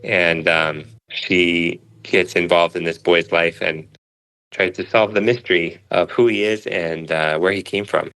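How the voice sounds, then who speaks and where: A man's voice: deep voice, steady tone, measured pace, fairly bright tone, clear, resonant tone, poor audio. A man, a comic author, giving a conversational introduction to an audio clip.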